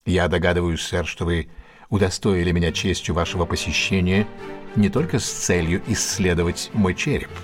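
An actor playing a confident, ironic detective with a husky voice in a Russian audio drama, speaking in a deliberate, theatrical, questioning manner. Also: male voice, low-pitched, steady tone, soft background music, lightly acted. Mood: polite, brisk.

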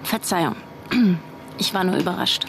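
An actress playing a spirited young tech graduate in a radio play, colloquial and quick to protest. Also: female voice, fairly low pitch, creaky. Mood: flustered, defensive. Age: young adult.

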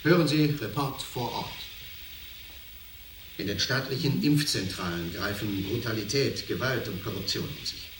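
A man's voice: fairly low pitch, moderate pace, natural voice. A man playing a grave, urgent field reporter delivering a formal dramatic report in a science fiction radio drama. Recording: echoey, distant, noisy field broadcast.

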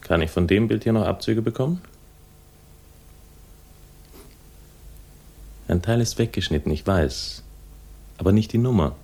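A man's voice: on the low side, a little fast, mellow, husky. A man acting in a 1960s German radio crime drama as a wry, laconic investigator, narrating and talking with dry irony. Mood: dry, probing. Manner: dry, ironic, literary phrasing. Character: wry, laconic male investigator narrating his own case. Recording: vintage radio drama, music behind.

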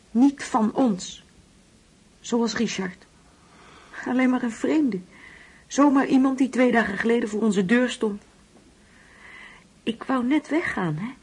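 An actress playing a wistful, uneasy older mother in a Dutch radio play, speaking hesitantly and brooding, at times hushed. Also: fairly high pitch, husky, exaggerated voice. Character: wistful, uneasy ageing mother grieving an absent son.

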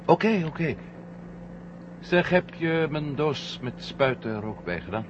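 An actor playing a reassuring, insistent astronaut commander in a 1970 radio drama. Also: male voice, a little high, expressive, a little slow. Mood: weary, evasive. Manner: reassuring, insistent, repeats himself. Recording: dull, noisy 1970 radio drama recording.

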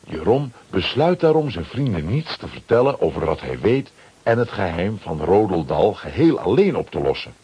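A man narrating a children's radio play as a scripted storyteller, with a very husky voice. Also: low voice, sing-song, normal speed, dark tone, dull audio, exaggerated voice.

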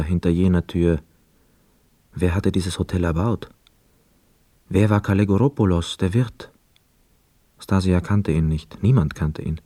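A pensive war veteran telling his story in a radio play. His deep, dark voice is measured and brooding, recorded dry and close. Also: no room sound. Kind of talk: radio play first-person narration.

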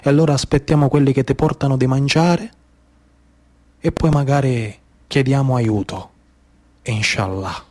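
A man's voice: mid-range voice, moderate pace, long pauses, a little mellow. A man with a Sicilian accent, an actor delivering a theatrical monologue in the manner of oral storytelling.

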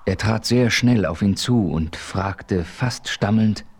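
A man narrating a radio play with steady storytelling. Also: on the low side, slow, husky.

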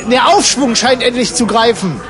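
A man's voice: extremely high pitch, lively intonation, normal speed, over music, crowd noise. A man in casual, rambling conversation, exclaiming and mock-dramatic in manner.

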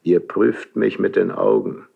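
A suspicious, wounded monarch broods in a very deep voice full of gravel. He speaks in halting, imperious phrases, as in classical stage tragedy on the radio, taking his time over every word. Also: fairly even intonation, phone-quality sound, exaggerated voice. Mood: suspicious, restless. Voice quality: gravelly, husky. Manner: slow, halting, theatrical stage delivery. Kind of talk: classical German verse radio drama.

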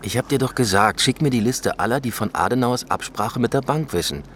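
An actor playing a stubborn, proud police detective in a radio drama, terse and reserved with a husky voice. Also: male voice, mid-range voice, fairly quick, a bit bright, slight background noise, natural voice. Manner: terse, defiant, dry.

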